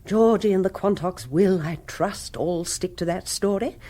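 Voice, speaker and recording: A woman's voice: on the low side, measured pace, warm tone. An actress playing an affected, imperious village social queen in a radio comedy, with an upper-class English accent and theatrical delivery. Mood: imperious, scheming. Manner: theatrical, affected, sprinkles speech with Italian endearments. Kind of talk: radio comedy drama acting.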